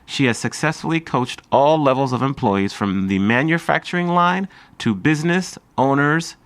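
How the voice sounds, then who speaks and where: A man's voice: medium pitch, moderate pace, fairly bright tone, no room sound. A man with an American accent hosting a podcast, giving introductions and interviewing. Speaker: podcast host. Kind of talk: scripted introductions and interview chat.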